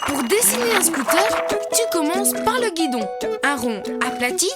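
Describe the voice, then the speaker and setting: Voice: very high voice, very animated, normal speed. An actor voicing a helpful young cartoon animal who cheerfully and reassuringly explains drawing step by step for children. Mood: upbeat, instructive. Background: music.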